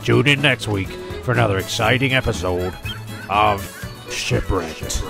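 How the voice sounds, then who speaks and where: A man's voice: lowish voice, unhurried, gravelly, music in the background. A man reading scripted comic storytelling narration.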